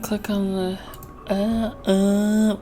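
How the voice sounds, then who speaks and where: A woman's voice: mid-range voice, level tone, very slow, warm tone, faint music behind. A young woman with a slight Filipino accent chatting casually and self-deprecatingly while playing a game. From Philippines. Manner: rambling, self-deprecating, stumbling over words. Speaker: gaming YouTuber. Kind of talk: casual let's-play commentary.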